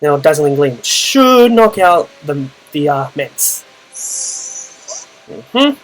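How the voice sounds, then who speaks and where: A young Australian man with a naturally loud voice, casually narrating his own Pokemon battle, thinking aloud with fillers. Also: fairly high pitch, sing-song, slow pace, faint music behind, low-quality recording. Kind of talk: casual play-by-play gaming commentary.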